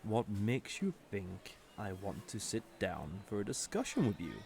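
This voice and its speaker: An actor playing a haughty, sardonic villain commander in a roleplay audio drama, condescending and drawling, with mock-sweet menace. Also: male voice, on the low side, very animated, a little slow, creaky, a little mellow, exaggerated voice. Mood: cold, teasing.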